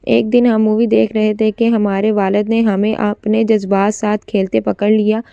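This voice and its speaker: A woman's voice: mid-range voice, level tone, moderate pace, gravelly, warm tone, clean tone, dry recording, dull audio. A woman narrating a scripted first-person story, occasionally stumbling as she reads.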